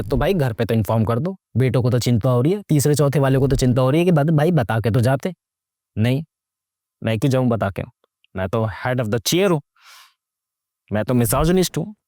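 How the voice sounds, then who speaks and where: A man's voice: medium pitch, darkish tone, no echo, clean studio sound. A young man giving casual, sarcastic movie commentary, slangy and mocking, full of rhetorical questions and mixing English into his Hindi. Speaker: YouTube movie reviewer.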